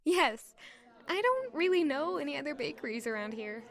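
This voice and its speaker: A woman acts out a young palace maid in an audio drama. Her voice sits fairly high and she speaks with courteous restraint. She comes across as modest, reserved and a little wistful. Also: sing-song, normal speed. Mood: polite, modest. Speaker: narrator and lead character of audio drama. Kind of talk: audio drama acting with first-person narration.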